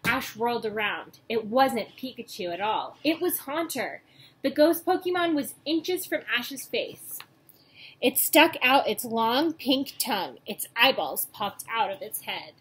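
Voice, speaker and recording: A young woman reading a children's book aloud in a storytelling style, acting out dialogue and pausing to point out pictures. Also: on the high side, expressive, a bit bright. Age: young adult. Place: small room at home, indoors. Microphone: webcam or laptop mic at arm's length. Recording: noisy home webcam recording.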